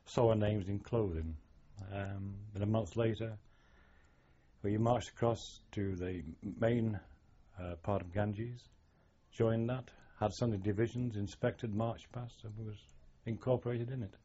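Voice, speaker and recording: An older man tells his memories in a wry, reflective way. His voice is low, husky and mellow. It comes through the dull sound of an old archive tape. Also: fairly even intonation, unhurried. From England. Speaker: oral history interviewee, former navy sailor. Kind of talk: reminiscing oral history interview.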